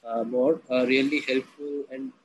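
A young man from India talks hesitantly, with lots of fillers. Clear background noise runs behind his voice. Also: mid-range voice, little pitch movement, unhurried, poor audio. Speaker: student. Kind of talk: casual conversation practice.

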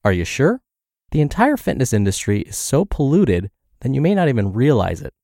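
A man's voice: mid-range voice, big pitch swings, fairly quick, creaky, mellow, no echo, studio quality. A man hosting a podcast, reading health and fitness blog posts aloud while congested and scratchy from the flu. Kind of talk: read-aloud narration.

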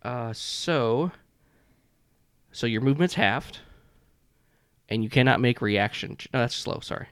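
A man's voice: mid-range voice, expressive, a little slow, pauses often, fairly bright tone, clean tone, dry recording, clean studio sound. A man acting as game master, narrating a tabletop game in an informal, improvised way with frequent chuckles. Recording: music playing behind the voice. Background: music.

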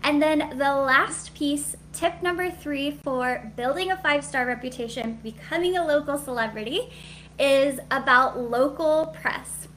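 Sweet high-voiced woman coaching frankly. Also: a little slow, a bit bright, slight background noise, low-quality recording. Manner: candid, chatty, frequent "like" fillers. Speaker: business coach for hairstylists. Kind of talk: live-streamed coaching talk.

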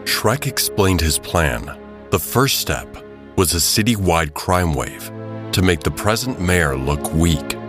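A male narrator with a low voice tells the story of an audio drama. His scripted delivery is dramatic, set over a clear music bed. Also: expressive, slow.

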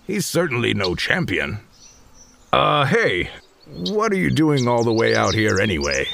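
An actor playing a gruff, skeptical male adventurer, wry and dismissive, in a comedic fantasy audio drama. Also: medium pitch, huge pitch swings, unhurried, a bit bright, slight background noise, full character voice. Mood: scornful.

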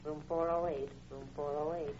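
An actress playing a brisk hospital paging announcer in an old radio drama. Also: female voice, deep voice, fairly even intonation, a little mellow, slightly rough. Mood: impersonal. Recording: dull, muffled vintage radio recording.

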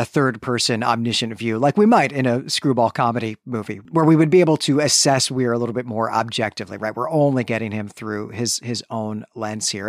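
A man co-hosting a podcast, recapping and commenting on fiction in a conversational, measured, explanatory way. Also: medium pitch, dry recording, clean studio sound. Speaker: podcast co-host.

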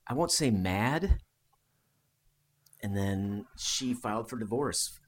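A man's voice: on the low side, animated, slow pace. Man chatting casually on a podcast. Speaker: podcast guest. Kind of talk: casual chat, storytelling about history.

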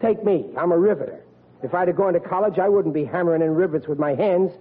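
Tinny old-radio actor as a blustering working-class New dad. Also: male voice, high voice, fast, very noisy, lightly acted. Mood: indignant, worried. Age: middle-aged. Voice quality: working-class, ungrammatical speech. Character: blustering, fretful working-class riveter father. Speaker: actor in the lead role. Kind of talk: 1940s radio comedy acting.

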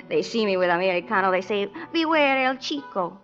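A woman's voice: mid-range voice, animated, a bit bright, close to an everyday voice. Actress as a coy Mexican cantina woman, old radio. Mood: uneasy, evasive. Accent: Mexican Spanish. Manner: coy, halting broken English. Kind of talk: 1940s radio drama acting. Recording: muffled 1940s radio recording, music behind.

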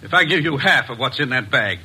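An actor playing a calculating murderer holding two men at gunpoint in a 1950s radio drama, tense and bargaining. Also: male voice, medium pitch, expressive, brisk, sharp tone, husky, heavily acted. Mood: cold, threatening. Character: cornered male murderer, cold and calculating.

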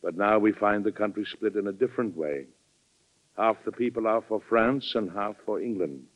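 An actor playing a dignified, fatherly president in a 1940s radio drama, speaking in a measured, earnest way. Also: lowish voice, no echo, lightly acted. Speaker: host narrator. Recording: dull vintage radio recording with music.

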